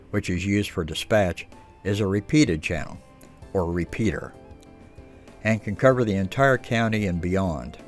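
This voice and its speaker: Lowish male narrator reading a training script, bit of music in back.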